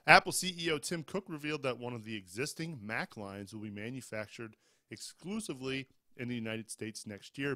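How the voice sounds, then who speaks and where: A man's voice: medium pitch, sharp tone, no echo. Male podcast co-host reading tech news, casual delivery with fillers, dry close mic. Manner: reads news headlines conversationally with fillers. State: just over a cold.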